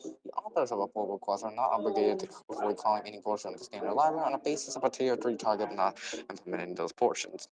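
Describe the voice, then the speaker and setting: A man's voice: fairly low pitch, low-quality recording. A man reading a technical policy document aloud, stumbling over words and repeating phrases as he goes. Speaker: reader.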